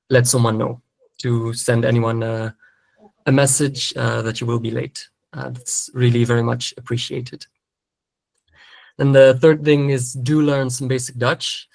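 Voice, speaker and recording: A man's voice: mid-range voice, flat delivery, normal speed, gravelly, dry recording. A young man from Belgium giving newcomers practical tips in a webinar, in an easygoing, explanatory way with many fillers. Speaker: student association volunteer giving arrival tips. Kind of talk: webinar briefing.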